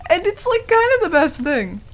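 A woman's voice: very high-pitched, big pitch swings, normal speed. A woman playing a prickly, easily flustered tsundere werewolf in a casual ASMR roleplay, with a defensive, stammering manner. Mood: enthusiastic. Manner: casual, defensive, stammering when embarrassed, swears. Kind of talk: intimate ASMR roleplay acting. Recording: clearly audible background noise.

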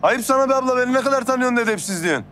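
A man's voice: very high-pitched, animated, very fast, bright, forceful tone, slight background noise, exaggerated voice. An actor in his thirties playing a brash, insistent suitor in a television drama, pushy and emphatic in a colloquial way. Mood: loud, indignant. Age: 30s. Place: outdoors on a city street.

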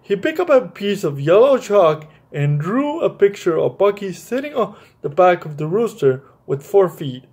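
Casting: young male story-time reader, high voice, very lively pitch, halting delivery with repeats. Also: slightly rough. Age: young adult. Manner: halting, often stumbling and repeating words. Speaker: storyteller reading a picture book. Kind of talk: read-aloud story time for children, with informal commentary. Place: small bright room indoors.

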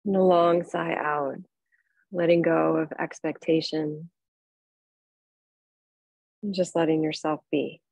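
Low-pitched woman guiding meditation, close and dry. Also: vocal fry, no echo, muffled recording. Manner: calm, unhurried, soothing, with long pauses. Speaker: yoga nidra meditation teacher. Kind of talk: guided relaxation meditation, instructing softly.

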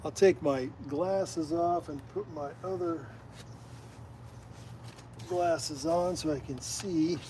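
Middle-aged guy, kinda high voice, folksy rambling stories on a fishing livestream by a canal. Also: takes it slowly, pauses often, noisy background. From Iowa. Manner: folksy, rambling storyteller who drifts onto side tracks. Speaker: the host's father, guest storyteller. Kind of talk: casual livestream chat, reading out viewer comments. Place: outdoors beside a canal.

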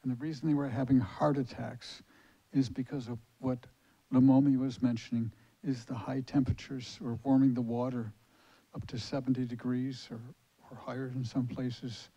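Older man, audience comment, husky and flat, dull lo-fi hall sound. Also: mid-range voice, moderate pace. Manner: plain, explanatory, with fillers. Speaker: audience member. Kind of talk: public comment at a lecture. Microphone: handheld mic.

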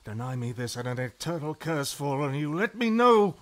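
A ruthless, haunted king in a Shakespearean radio play. His fairly high voice swings widely in pitch as he declaims. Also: big pitch swings, moderate pace. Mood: exultant, demanding. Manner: declamatory, volatile. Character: haunted, ruthless, tyrannical Scottish warrior king. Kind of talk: theatrical Shakespearean radio drama acting.

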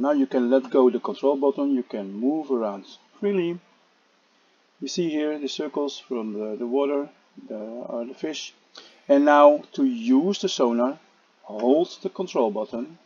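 A man walks through a game tutorial in a casual voice-over, pausing often on "uh" and restarting his sentences. His voice is low-toned and a little husky, and it comes through a dull, lo-fi home microphone. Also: lively intonation, mellow, dry recording. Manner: halting, many "uh" fillers and restarts. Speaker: gameplay tutorial narrator.

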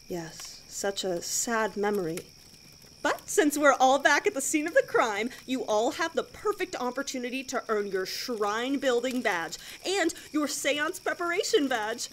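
A woman's voice: high-pitched, a bit bright, slight background noise, poor audio. An actress playing a relentlessly cheery, blithely macabre female scout leader in an audio drama, chirpy and instructing. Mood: mock solemn.

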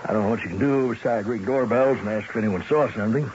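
Actor as brusque police captain, muffled radio. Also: male voice, on the low side, quick pace, lightly acted. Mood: casual, questioning. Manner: casual, questioning. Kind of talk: 1970s radio drama acting. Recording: dull, noisy old radio broadcast.

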